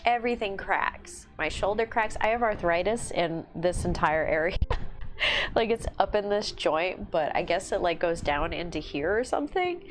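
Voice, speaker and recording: A woman's voice: on the low side, lively intonation, normal speed. A woman in her thirties hosting a casual livestream, chatty and self-deprecating, laughing often as she talks. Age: 30s. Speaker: livestream host. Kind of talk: casual chat while painting miniatures. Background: faint music.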